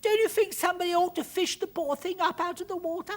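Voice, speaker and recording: High, breathy, earnest little girl, as a narrator plays her. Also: fairly even intonation, quick pace. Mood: dismayed. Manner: breathy, wide-eyed, pleading. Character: small, earnest girl, timid and tender-hearted.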